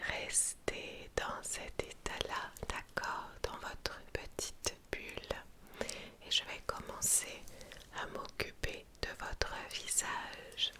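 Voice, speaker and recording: A woman whispering gently and soothingly as an attentive spa beautician in an ASMR roleplay.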